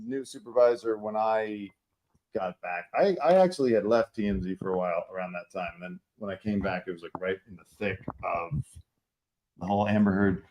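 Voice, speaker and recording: A man hosting a casual talk show, telling stories in a relaxed, chatty way. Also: on the low side, fairly quick, a little hiss or hum, low-quality recording. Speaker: host. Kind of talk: casual chat.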